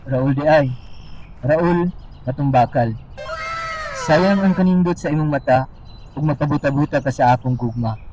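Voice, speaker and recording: Male actor for radio-drama romancer: Filipino, smooth sweet-talker, soft dark tone, dull recording with background ambience. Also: mid-range voice, lightly acted. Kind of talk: amateur radio drama acting. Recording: muffled, distant, noisy ambience with birds.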